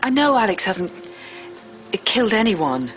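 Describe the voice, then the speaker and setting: An actress playing a troubled, guarded mother and doctor, speaking haltingly in a naturalistic drama style. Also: mid-range voice, sing-song, a bit bright, soft background music. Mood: strained, defensive. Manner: hesitant, halting. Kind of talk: naturalistic screen drama acting.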